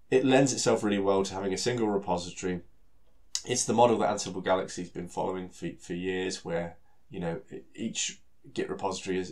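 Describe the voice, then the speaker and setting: A British man with a heavy cold talks through a technical presentation, his voice nasal and stuffy. His voice sits on the lower side, and he speaks in a relaxed way, leaning on fillers and repeating words as he goes. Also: gravelly. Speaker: solution architect giving a technical talk.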